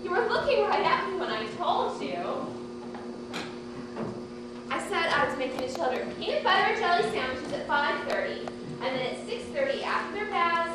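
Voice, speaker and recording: Woman doing a super high fussy chatterbox housewife in a stage play, exasperated and dramatic, far off with lots of hiss. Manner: chatty, dramatic, self-important. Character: fussy, talkative, exasperated Southern housewife and aunt. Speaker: actor in a student one-act play. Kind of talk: live stage comedy acting. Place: theatre stage, distant microphone. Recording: distant echoey stage recording, noisy.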